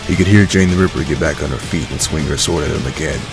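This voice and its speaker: A man's voice: extremely low pitch, fast talker, vocal fry. A man reading scripted story narration as the narrator of an audio drama. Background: music.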